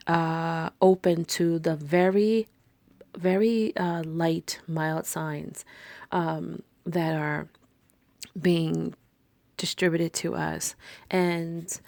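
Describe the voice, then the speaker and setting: A woman's voice: lowish voice, a little mellow, no room sound. A woman with a soothing voice in a rambling, casual spiritual monologue for her podcast, full of fillers and restarts. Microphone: close microphone.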